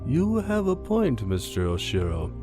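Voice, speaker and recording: An actor playing a formal, measured and cryptic school elder in an audio drama, with music under the voice. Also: mid-range voice, wildly swooping pitch. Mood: cautionary. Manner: formal, deliberate phrasing. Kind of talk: scripted audio drama, anime parody.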